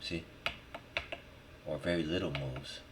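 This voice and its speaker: A man thinking aloud in a casual screen-recorded software tutorial, muttering in short, slangy fragments as he works. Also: rumbling low, steady tone, takes it slowly. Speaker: 3D modeling tutorial host. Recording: clear background noise, keyboard and mouse clicks. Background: typing and mouse clicking.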